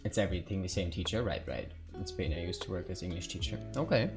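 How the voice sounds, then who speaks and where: A man's voice: low voice, animated, fast, over music. A young man, a native Hungarian speaker, chatting casually with viewers while streaming a game, full of fillers and asides. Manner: chatty, answers viewer chat, many fillers. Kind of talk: casual chat while gaming.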